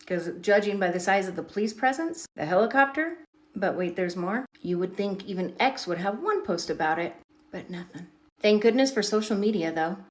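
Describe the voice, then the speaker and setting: A woman with a fairly low voice tells a story as an online commentator. She is emphatic and dramatic, repeating words to drive her points home.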